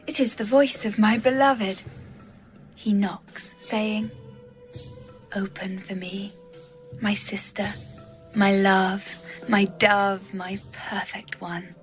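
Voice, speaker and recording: A woman's voice: mid-range voice, steady tone, slow, long pauses, faint music behind, natural voice. A woman playing a lovesick, yearning young bride in a poetic, tender dramatized scripture reading. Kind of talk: dramatized audio Bible reading.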